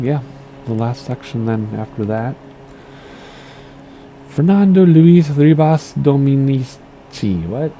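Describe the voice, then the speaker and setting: Man with a gravelly, fading voice. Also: medium pitch, mellow, slight background noise. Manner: rambling and playful, stumbles over names. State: losing his voice. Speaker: gaming streamer. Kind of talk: casual flight sim commentary, reading aloud.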